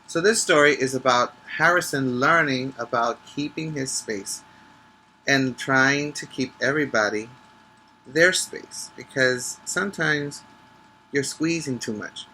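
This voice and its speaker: A man who teaches preschool, reading a picture book aloud emphatically, stretching key words and pausing to explain things to the children. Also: medium pitch, pushed and bright, slight background noise, rough recording. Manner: instructive, checking in with listeners.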